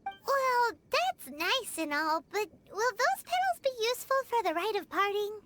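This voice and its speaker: A woman voicing a tiny, childlike, perky and blunt fairy companion in a video game, squeaky and cutesy. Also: sing-song, measured pace, background noise, full character voice. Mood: grumbling. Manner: cutesy, speaks of self in third person. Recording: music behind the voice.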